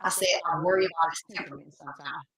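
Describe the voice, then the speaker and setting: A woman's voice: low voice, fairly even intonation, very fast, a bit bright. A woman hosting a casual podcast interview, speaking frankly and often agreeing with her guest. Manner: frank, affirming, lots of backchannel. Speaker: podcast host.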